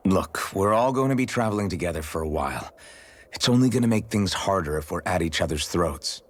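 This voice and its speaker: A man's voice: deep voice, lively intonation, fairly quick. A man playing an earnest, patient young fantasy hero in a video game. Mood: earnest, persuasive. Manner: earnest, conciliatory.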